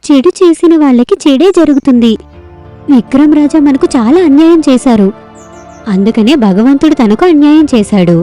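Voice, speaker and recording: Woman as a gentle, high-voiced village wife. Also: animated, moderate pace, soft background music. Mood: consoling. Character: gentle, hopeful village wife consoling her husband.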